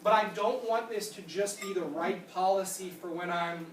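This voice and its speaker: A trainer in their thirties explains policy details to a room and answers audience questions with brisk yeses and nopes. The voice sits at a middle pitch. It is picked up from a distance in a roomy, echoing space with faint noise behind. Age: 30s. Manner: explanatory, answers questions with quick "nope" and "yep". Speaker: insurance product trainer. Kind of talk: training presentation with Q&A. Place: conference room.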